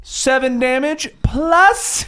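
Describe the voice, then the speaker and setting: A man's voice: very high voice, slow pace, bright, forceful tone. A man chatting casually as a player in a tabletop role-playing game.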